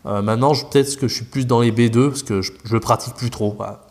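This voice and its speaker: A man's voice: mid-range voice, steady tone, fast talker, darkish tone. A French man, a language teacher, talking casually as a podcast guest, rambling with many fillers and restarts.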